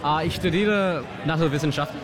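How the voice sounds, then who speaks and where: Higher-voiced young man amid loud crowd. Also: brisk. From Sri Lanka. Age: young adult. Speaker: student being interviewed. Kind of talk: short casual interview answers. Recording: loud music and crowd noise. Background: loud music and crowd.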